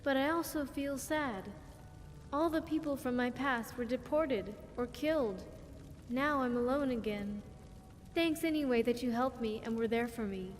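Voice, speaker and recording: A woman's voice: high voice, unhurried, in a large hall, slight background noise. A woman playing a wistful, earnest young vault survivor in a video game, speaking in careful, formal phrases. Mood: sad, grateful. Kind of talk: video game companion dialogue.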